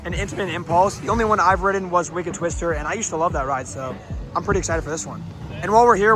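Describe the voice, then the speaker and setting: A young man with a strained, hoarse voice hosting a casual vlog outdoors at an amusement park, chatting excitedly with lots of ums and likes. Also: high-pitched, poor audio. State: losing his voice, hoarse. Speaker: vlogger host.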